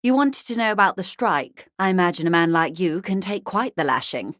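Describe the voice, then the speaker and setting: An actress playing a bourgeois corporate negotiator in a video game, polite and articulate, with a measured, drily condescending wit. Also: female voice, fairly low pitch, animated, a bit bright, no room sound, clean studio sound. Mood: drily amused. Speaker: senior labour negotiator. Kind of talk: video game dialogue voice acting.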